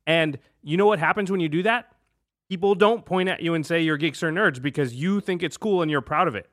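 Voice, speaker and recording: A man's voice: a little high, brisk, a bit bright, dry recording, studio quality. A man hosting a podcast in casual, conversational talk. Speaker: podcast host.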